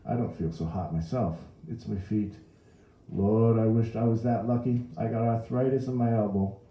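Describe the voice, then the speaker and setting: A friendly New Orleans bakery saleswoman, acted out by a man reading a story, raised above his usual pitch. She is chatty and commiserating, with folksy familiarity and audible echo. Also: normal speed, mellow, natural voice. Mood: sympathetic. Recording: roomy echo.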